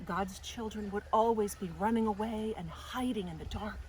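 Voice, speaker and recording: A woman reading a children's story aloud as a storytime host, with deliberate, dramatic expression. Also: medium pitch, darkish tone, poor audio. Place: outdoors in a garden. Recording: faint outdoor noise, birds or animals. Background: faint outdoor ambience.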